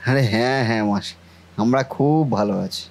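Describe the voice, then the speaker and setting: A man voicing a trusting, devoted young brother and gullible nephew in a cartoon family drama, earnest and expressive. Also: mid-range voice, fairly quick, close to an everyday voice. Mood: cheerful, welcoming. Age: young adult.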